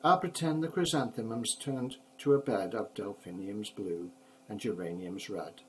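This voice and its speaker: An older man, a grandfather, reading children's poetry aloud in an expressive, rhythmic, measured storybook manner. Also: fairly low pitch, slight background noise, low-quality recording.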